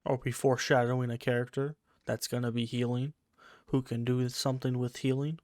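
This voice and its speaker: A woman's voice: a bass voice, flat delivery, brisk, darkish tone, no echo, studio quality. A woman giving casual playthrough commentary on a game, dropping offhand, wry asides. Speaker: gamer reacting to a story quest.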